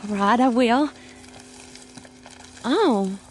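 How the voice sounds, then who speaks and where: A woman's voice: a little high, lively intonation, drawn-out words, soft background music. A woman playing a stubborn, nervous young rural American sweetheart in a radio drama. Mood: sweet, nervous. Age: young adult.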